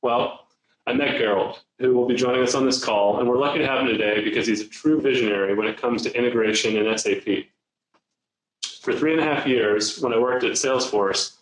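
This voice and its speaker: An upbeat man who is a sales executive opens a business presentation with enthusiasm. His voice sits at a middle pitch. The recording has a noticeable roomy echo. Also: a little fast.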